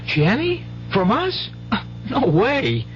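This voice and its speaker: A high, animated country teenage boy in a vintage radio play. He is earnest and protective, with hesitant rustic speech, on a dull recording with faint hiss and hum. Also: extremely slow, faint music behind, exaggerated voice. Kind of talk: 1970s radio drama acting. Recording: muffled old radio broadcast, faint hum.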